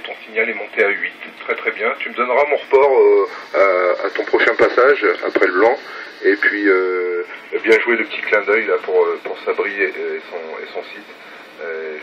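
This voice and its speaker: A man's voice: fairly low pitch, fairly even intonation, moderate pace. A man chatting casually as a radio operator, enthusiastic and repeating words for emphasis. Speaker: amateur radio operator filming his station. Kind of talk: casual radio contact chat. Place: at home beside radio set. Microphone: phone filming, off the mouth. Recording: dull, very noisy phone video.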